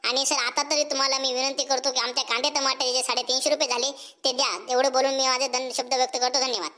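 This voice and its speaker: A man's voice: very high voice, little pitch movement, fast, sharp tone. A man voicing a bold, cheeky cartoon schoolboy with a rural Marathi accent and a blunt, folksy way of talking. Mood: mock-formal, cheeky. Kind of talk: comic cartoon dialogue and mock school speech.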